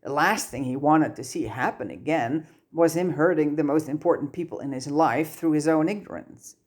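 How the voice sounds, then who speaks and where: A woman reads a story aloud as scripted narration. Her voice sits low, in the manner of an amateur audiobook narrator. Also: normal speed, darkish tone.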